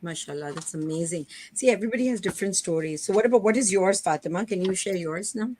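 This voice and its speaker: Woman hosting a video call chat, soft and rambly, kinda low voice. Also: quick pace, slight background noise. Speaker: host. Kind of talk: casual video call interview.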